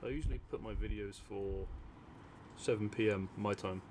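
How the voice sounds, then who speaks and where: A man from Europe talking in an unscripted, musing, unhurried ASMR ramble to his listeners. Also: fairly low pitch. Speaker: audio roleplay creator talking to listeners. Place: by an open window at a desk. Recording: clear city noise with distant traffic. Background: city ambience, vehicles, sirens.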